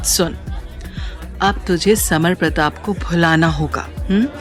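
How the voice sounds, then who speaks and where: An actress playing a bubbly young party-girl friend in an audio story, coaxing in a casual, drawn-out way. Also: on the low side, over music. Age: young adult. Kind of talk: Hindi audio story acting.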